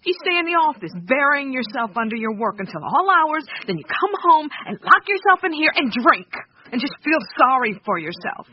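Bitter, grieving wife for radio drama: fairly high, very animated, emotional, on a dull lo-fi recording. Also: female voice, normal speed. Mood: bitter, weary. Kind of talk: naturalistic radio drama acting.

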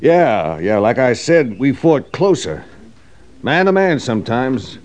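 An actor playing a talkative, brooding cavalry veteran in an old radio western, speaking in a folksy American drawl. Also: male voice, medium pitch, sing-song, slow pace, a little mellow. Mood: earnest, agitated. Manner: folksy drawling frontier soldier speech.

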